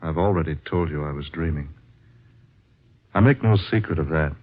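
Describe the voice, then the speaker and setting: An actor playing an earnest, troubled writer searching for truth in a vintage radio drama, with formal, theatrical phrasing. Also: male voice, a bass voice, normal speed. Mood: brooding. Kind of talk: 1940s radio drama acting with narration. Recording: dull, muffled vintage radio recording.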